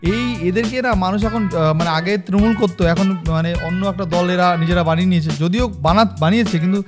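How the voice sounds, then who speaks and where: A man's voice: sits high, brisk. A man giving casual, chatty reaction commentary as a host, addressing his viewers like friends. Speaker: host of a political meme review channel. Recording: music bed behind the voice.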